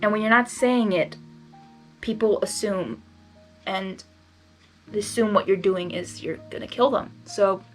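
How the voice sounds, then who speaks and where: A woman's voice: medium pitch, measured pace, pauses often, soft background music, poor audio. A woman narrating fan fiction expressively, slipping into casual, emotionally invested asides.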